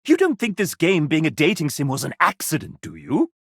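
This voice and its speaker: A man's voice: on the high side, wildly swooping pitch, brisk, a bit bright, breathy edge, no echo, clean studio sound, exaggerated voice. A man voicing a sassy, randy, flamboyant video game mascot with a theatrical, cheeky, flirtatious delivery. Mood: giddy, excited. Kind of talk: video game character voice acting.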